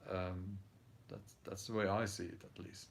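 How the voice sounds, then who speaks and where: A Norwegian-accented man in his forties talks slowly and thoughtfully, stopping often for ums and restarts. His voice sits fairly low and has a gravelly, creaky edge. He chats casually as he reads viewer comments. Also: flat delivery, a little hiss or hum. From Norway. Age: 40s. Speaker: channel host reading viewer comments. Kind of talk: casual live-stream chat.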